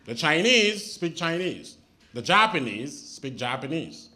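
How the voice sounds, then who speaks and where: A Haitian man whose first language is Haitian Creole, performing an animated stand-up set on a comedy stage and jumping into high mock voices for punchlines. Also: unhurried. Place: comedy stage before a laughing crowd. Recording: loud noise, audience laughter.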